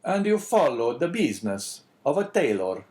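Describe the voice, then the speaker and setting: A man reading an audiobook, voicing a grave, searching priest with an Italian accent who asks measured, probing questions. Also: medium pitch, big pitch swings, a little mellow, voice pushed up, close to an everyday voice. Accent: Italian, put on. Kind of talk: audiobook character voice.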